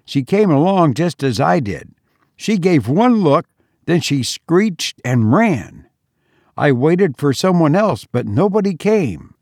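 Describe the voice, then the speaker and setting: A man's voice: fairly high pitch, sing-song, slow pace, a little mellow, no room sound, studio quality, voice pushed up, heavily acted. A man reading aloud and voicing a shaken young man who tries to sound unconcerned. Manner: shaky, trying to sound unconcerned.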